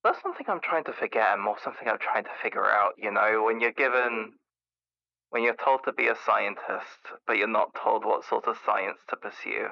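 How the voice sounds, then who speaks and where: Through a dull lo-fi phone filter comes a man playing an anxious male scientist calling into a radio drama. His lowish, gravelly voice rambles nervously and repeats itself, full of self-doubt. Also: a little fast, bright, forceful tone. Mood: anxious, rambling. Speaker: radio caller. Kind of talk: improvised call-in radio acting. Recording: muffled lo-fi caller line.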